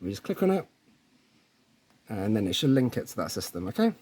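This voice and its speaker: A man's voice: fairly low pitch, very animated, fairly quick, darkish tone, breathy edge. A man presenting a casual hands-on tech tutorial in an informal way, often checking in with the viewer. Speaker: presenter of installation tutorial videos.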